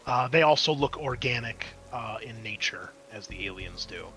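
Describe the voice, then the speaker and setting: A man's voice: lowish voice, lively intonation, moderate pace, fairly bright tone, soft background music, poor audio. A man narrating as game master of a tabletop horror game, in a casual, sweary, descriptive way.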